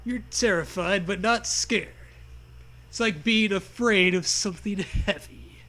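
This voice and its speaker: High bright guy voice reading a goofy story slowly, mock dramatic. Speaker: co-host reading a comedy story aloud. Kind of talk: comedic read-aloud with banter.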